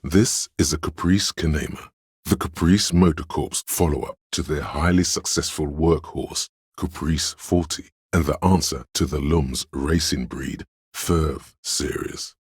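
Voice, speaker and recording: A man voicing a pedantic inner voice of encyclopedic knowledge in a game, narrating lore in a measured, learned, didactic way. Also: a bass voice, slightly rough, no echo, clean studio sound, exaggerated voice. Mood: informative. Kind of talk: scripted narration, delivering trivia.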